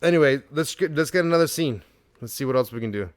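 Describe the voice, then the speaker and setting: A man hosting a comedy podcast, loud and excitable, swearing and laughing through improvised bits. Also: fairly high pitch, fast, no room sound, studio quality. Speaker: podcast host. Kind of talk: improvised comedy sketch chat.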